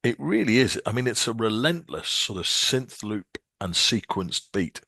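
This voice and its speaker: A man's voice: mid-range voice, expressive, a little slow, gravelly, bright, forceful tone, dry recording, clean studio sound. A man co-hosting a casual music podcast, talking with many restarts and ums. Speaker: podcast co-host.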